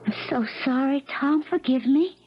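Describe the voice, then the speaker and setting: A woman's voice: high voice, normal speed, natural voice. An actress playing a worried, devoted young country woman, earnest and folksy, in a 1970s radio drama. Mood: worried, sorrowful. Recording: dull, noisy 1970s radio broadcast.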